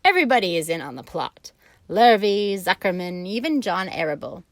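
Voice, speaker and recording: A woman's voice: on the low side, very animated, moderate pace, no echo. A woman doing a blunt old ewe. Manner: blunt, matter-of-fact, loud. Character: blunt old female sheep, gossipy and worldly. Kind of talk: storybook reading.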